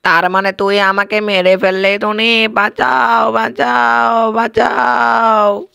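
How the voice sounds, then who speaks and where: Cartoon witch, a man acting her: threatening, vengeful, stretched-out cries in a highish voice. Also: flat delivery, slow, creaky, bright, forceful tone, smooth, no echo, clean studio sound, full character voice. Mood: desperate. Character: menacing, bloodthirsty female witch-ghost. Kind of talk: amateur cartoon story voicing.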